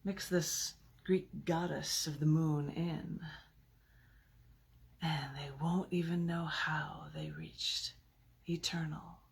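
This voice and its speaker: A woman poet with a husky, gravelly voice, reading her work theatrically. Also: sits low, animated, takes it slowly. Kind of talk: dramatic poetry reading with casual asides.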